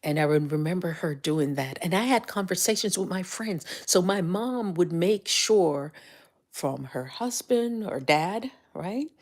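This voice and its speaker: An older woman with a Jamaican accent giving motivational talk on a livestream, telling stories animatedly and dropping to whispers. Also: measured pace. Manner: animated storytelling, rises high when excited, drops to whispers. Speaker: life coach and livestream host.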